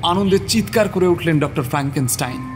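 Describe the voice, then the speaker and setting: A man's voice: on the high side, animated, fast, background noise. A man narrating a horror story in a theatrical, suspenseful style.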